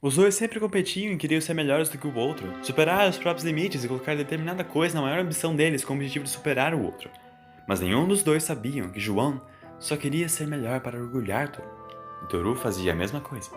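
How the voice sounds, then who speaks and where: A man's voice: medium pitch, brisk. Brazilian man narrating a story over music. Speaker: narrator of an audio fan story.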